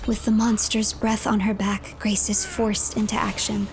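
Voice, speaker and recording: A woman narrating a horror game as a dramatic third-person story, with breathy dips toward a whisper. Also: mid-range voice, little pitch movement, normal speed, noisy background.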